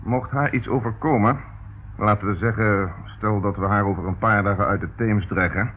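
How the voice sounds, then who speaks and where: An actor playing a dogged police inspector in a radio play, sardonic, stern and deliberate in his talk. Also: on the low side, close to an everyday voice. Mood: stern warning. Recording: muffled, noisy old radio recording.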